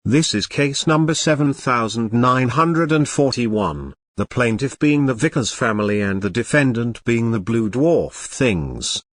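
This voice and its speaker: A man playing a measured, formal judge in an amateur audio drama. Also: medium pitch, lively intonation, dry recording, studio quality, natural voice. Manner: measured, official.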